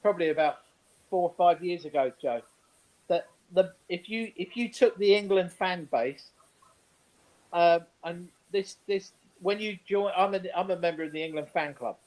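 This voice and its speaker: High-voiced man in casual sports banter. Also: normal speed, a little mellow, poor audio. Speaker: sports show panellist.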